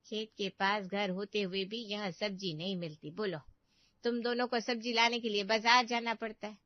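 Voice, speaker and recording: A woman's voice: mid-range voice, steady tone, moderate pace, dry recording, muffled recording, close to an everyday voice. A woman voicing a meddling older aunt in a family cartoon, complaining and lecturing with exaggerated sympathy. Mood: nagging. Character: meddling older aunt, falsely pitying, nagging and fault-finding. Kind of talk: Hindi family cartoon story voice acting.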